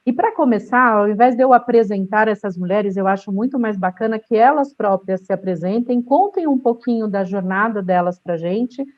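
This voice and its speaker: A woman's voice: mid-range voice, moderate pace, few pauses, dull audio. A middle-aged woman moderating a panel, introducing the guests with enthusiasm. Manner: enthusiastic, warm framing of guests.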